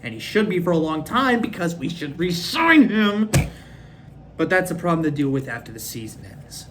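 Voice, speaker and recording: A young man from Minnesota hosting a casual fan recap, rambling and excitable, his voice a bit worn from screaming. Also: on the high side, moderate pace, a little hiss or hum, rough recording. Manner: rambling, excitable, lots of fillers. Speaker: fan podcast host. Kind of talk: casual solo recap of a hockey game.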